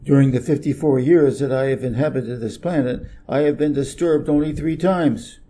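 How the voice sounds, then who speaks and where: A man's voice: mid-range voice, normal speed, warm tone, a little hiss or hum, lightly acted. A man voicing a busy, self-important, irritable middle-aged businessman from a storybook, brusque and emphatic. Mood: pompous, irritated. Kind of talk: storybook character reading.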